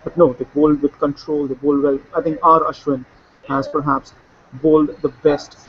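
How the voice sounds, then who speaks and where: A man breaks down a cricket match in relaxed conversation, with "uh"s and repeated starts along the way. His voice sits a little high, and the sound is dull and lo-fi with a faint hiss behind it. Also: moderate pace. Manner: fluent, with fillers and restarts. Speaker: cricket analyst. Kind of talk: casual cricket discussion. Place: Sydney, remote link.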